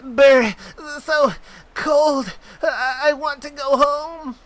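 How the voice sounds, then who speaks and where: A man voicing a small, frightened young man who is jittery and whiny, reading his lines in a high, anxious, pleading voice. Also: extremely slow, a bit bright, heavily acted.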